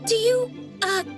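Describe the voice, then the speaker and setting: A woman playing a gentle, anxious young woman in a video game, hesitant, earnest and deferential. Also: extremely high pitch, exaggerated voice. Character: gentle, timid, anxious young female secretary. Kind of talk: scripted video game voice acting. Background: game music.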